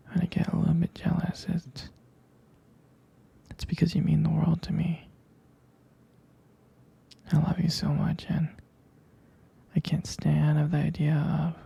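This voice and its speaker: A man playing a jealous, protective, devoted young boyfriend in an intimate ASMR roleplay, whispering hesitantly in a breathy, husky voice. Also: a little high, full character voice. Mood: tender, protective. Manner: hesitant, slow, halting, soft-spoken.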